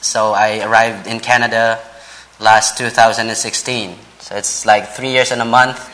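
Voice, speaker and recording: A man in his thirties from the Philippines, with a Filipino accent and English as a later language, speaking to a church in a halting, polite way as a visiting preacher. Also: lowish voice, little pitch movement, sharp tone, poor audio. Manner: halting, frequent pauses and repeated words, polite. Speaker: visiting preacher, Bible college vice president. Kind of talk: church sermon introduction and scripture reading.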